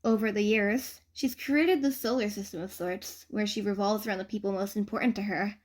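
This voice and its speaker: A woman reading fan fiction aloud as a narrator, in an expressive audiobook style. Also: mid-range voice, a little fast.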